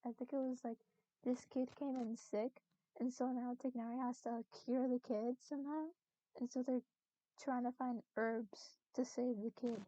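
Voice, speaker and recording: A woman chatting casually as she plays through a video game quest, on a low-quality amateur mic. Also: level tone, moderate pace, dark tone, lo-fi sound. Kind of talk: casual chat over gameplay.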